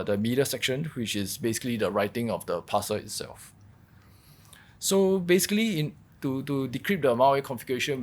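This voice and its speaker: A man giving a technical workshop presentation, explaining in a halting way and repeating words as he goes. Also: mid-range voice. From Singapore. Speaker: workshop presenter, malware researcher.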